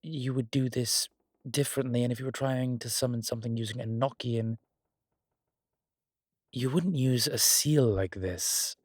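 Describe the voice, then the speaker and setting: A man playing a strong, scholarly demon in a horror roleplay audio, explaining things in a deliberate, halting, pedantic way. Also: mid-range voice, dry recording, studio quality, close to an everyday voice. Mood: pondering, baffled. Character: strong, scholarly male demon, exasperated occult lecturer. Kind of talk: immersive one-sided roleplay audio drama.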